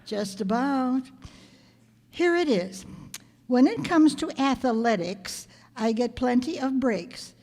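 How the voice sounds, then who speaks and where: A woman's voice: sits high, animated, slow pace, darkish tone, exaggerated voice. A woman playing a wry, wisecracking schoolteacher with dry, sardonic delivery in old-time radio comedy style. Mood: dry amusement. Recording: reverberant hall stage recording.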